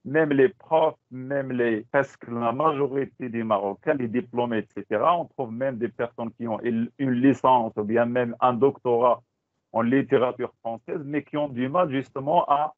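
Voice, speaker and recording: A Moroccan man whose first language is Tachelhit, giving a halting teaching talk on a video call, repeating words and slipping into Moroccan Arabic. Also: medium pitch, no room sound. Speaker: teacher trainer. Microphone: computer mic on a video call. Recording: dry, phone-like call audio.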